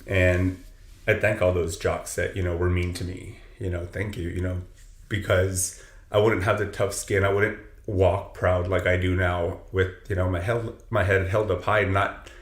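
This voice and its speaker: A man's voice: sits low, flat delivery, moderate pace. A man from south Texas whose first language is Spanish, a personal trainer talking earnestly in a casual interview, full of "you know"s. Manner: earnest, filler-heavy, many "you know"s. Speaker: personal trainer, podcast guest. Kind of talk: casual reflective interview talk. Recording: roomy echo with faint ambience.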